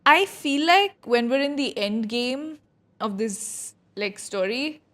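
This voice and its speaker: A woman's voice: fairly high pitch, expressive, takes it slowly, fairly bright tone. A woman streamer giving casual, chatty livestream commentary on a game, informal in her wording.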